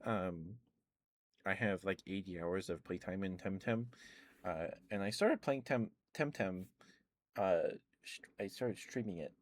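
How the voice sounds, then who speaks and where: A man rambling casually about video games in a soft-spoken ASMR stream, full of ums and uhs. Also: low voice, unhurried, pauses often, no room sound.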